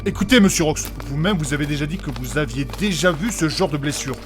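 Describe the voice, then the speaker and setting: An actor playing a timid, over-polite mage assistant, formal and apologetic, in a comic fantasy audio drama. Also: male voice, on the high side, fast, over music, lightly acted. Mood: fussy.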